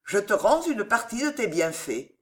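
A woman's voice: mid-range voice, sing-song, normal speed, lightly acted. A woman voicing a solemn, eccentric moralizing man in an audiobook, exhorting in declamatory phrases. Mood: moved, admonishing. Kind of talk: audiobook character voice.

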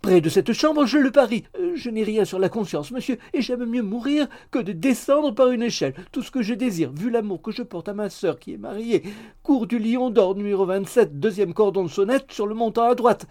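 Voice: mid-range voice, lively intonation, moderate pace, a little mellow, voice pushed up, close to an everyday voice. A reader voicing a coy, prudish spinster maid in a story, theatrically faint and scandalized. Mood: feigned terror.

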